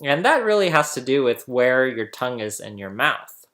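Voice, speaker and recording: A man's voice: medium pitch, sing-song, moderate pace, fairly bright tone. A man hosting a casual tutorial, chatty and laughing often as he explains, recorded on a laptop mic indoors. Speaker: host explaining phonetics. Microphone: laptop webcam mic.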